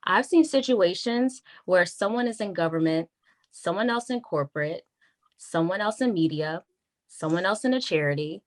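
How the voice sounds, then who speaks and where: A woman playing a wary, frank government attorney in a naturalistic script read, measured and confiding. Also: fairly low pitch, lively intonation, natural voice. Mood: guarded, confiding.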